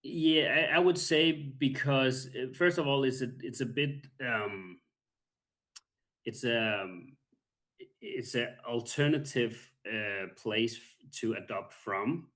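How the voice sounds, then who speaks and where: A Danish-accented man in his thirties, a podcast guest who speaks calmly and haltingly, with many pauses. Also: mid-range voice. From Denmark. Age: 30s. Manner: calm, soft-spoken, halting with many pauses. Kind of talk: casual interview.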